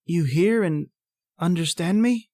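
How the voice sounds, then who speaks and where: A man's voice: high voice, a little slow, mellow, no room sound. Actor as an awestruck, stammering man, dry close sound. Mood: stunned disbelief. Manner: stammering, breathless urgency. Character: awestruck, insistently curious man confronting an alien. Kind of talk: old-time radio horror acting.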